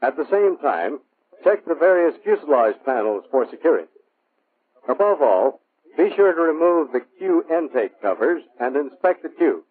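An American man reading scripted instructional narration for a training film in a measured, clipped, instructive manner. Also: on the high side, big pitch swings, breathy edge. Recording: muffled, phone-like vintage military film soundtrack.

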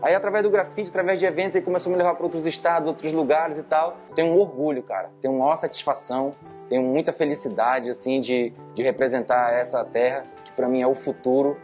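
A man's voice: a little high, steady tone, fast talker, darkish tone. A man in his thirties with a Manaus Brazilian accent, chatting in slangy interview talk through a cloth mask into a lapel mic. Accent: Manaus Brazilian Portuguese. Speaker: interviewee, graffiti artist. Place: indoor room, masked. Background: music.

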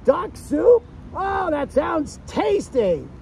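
A goofy cartoon duck comedian, with an extremely high voice full of gravelly creak. The delivery is slow and silly, made for corny one-liners and quacking. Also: male voice, sing-song, darkish tone, exaggerated voice. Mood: chatty, gullible. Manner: silly, punctuates jokes with quacking. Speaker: stand-in comedy host.

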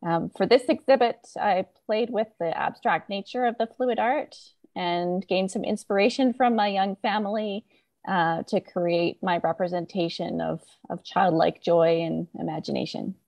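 A woman giving a prepared artist introduction in a polite, measured way over a video call. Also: on the low side, gravelly, darkish tone. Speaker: local artist. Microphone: video call microphone.

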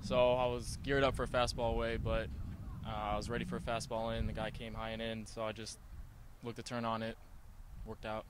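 A young man, a college baseball player, answering postgame questions haltingly with fillers, into a handheld mic on the field. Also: on the low side. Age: young adult. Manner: halting, with fillers, flat delivery. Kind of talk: postgame interview. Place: outdoors on a baseball field at night. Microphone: host's handheld mic. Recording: loud outdoor field noise.

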